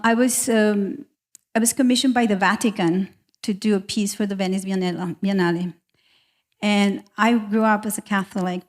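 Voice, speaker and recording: A woman's voice: mid-range voice, darkish tone. Woman speaking candidly on a panel. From South America. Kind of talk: personal storytelling on a panel.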